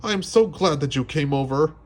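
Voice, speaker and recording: A man voicing a proud, excitable male toad from a storybook, exclaiming loudly and dramatically. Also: a little high, a little fast, pitched above the performer's own voice. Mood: relieved.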